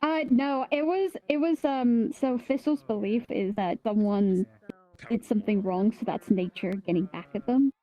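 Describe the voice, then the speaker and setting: High-voiced chatty woman on dull voice chat. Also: moderate pace. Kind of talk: casual chat in a VR roleplay game. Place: voice chat in an online virtual world.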